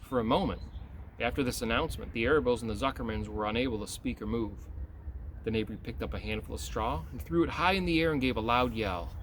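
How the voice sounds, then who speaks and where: Man, storybook narration, expressive, medium pitch, faint background noise. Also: fast. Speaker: educator reading aloud.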